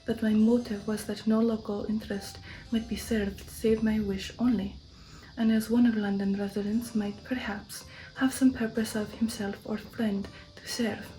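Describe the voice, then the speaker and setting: A woman voicing a commanding, formal old count in an ASMR storybook reading, with deliberate phrasing. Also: mid-range voice, flat delivery, dark tone, close to an everyday voice, low-quality recording. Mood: formal, persuasive. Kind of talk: ASMR audiobook character reading.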